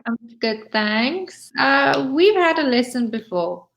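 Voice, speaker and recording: A woman's voice: sits high, expressive, takes it slowly, vocal fry, fairly bright tone. A woman with a South African accent, chatting in a friendly way as an English tutor over a video call and asking lots of follow-up questions. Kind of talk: casual conversation practice.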